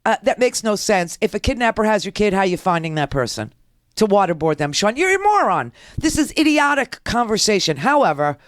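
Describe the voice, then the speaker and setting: A woman's voice: mid-range voice, very animated, fairly quick, no room sound, studio quality. A woman hosting a political talk radio show, sarcastic and combative, heckling the clips she plays.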